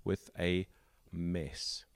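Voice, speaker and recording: A South African man hosts a casual tech podcast chat. His voice sits very low, and he takes his time explaining with fillers. The sound is dry and close, with no room around it. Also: level tone, smooth, no echo. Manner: explains at length with pauses and fillers. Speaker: podcast host.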